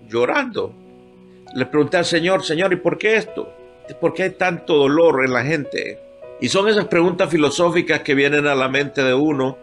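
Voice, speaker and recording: Older Honduran pastor guest, halting heartfelt testimony, faint guitar bed. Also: male voice, a little high, a bit bright. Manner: heartfelt, halting, moved to tears at times. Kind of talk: emotional personal testimony.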